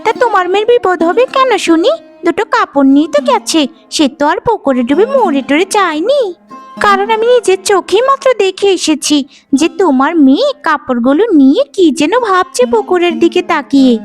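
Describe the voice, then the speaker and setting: A woman's voice: very high-pitched, animated, brisk, faint music behind. A woman voicing a spoiled, spiteful young village sister in a cartoon, with a whiny, haughty, scornful manner. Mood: mocking. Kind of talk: animated folk-tale cartoon voice acting.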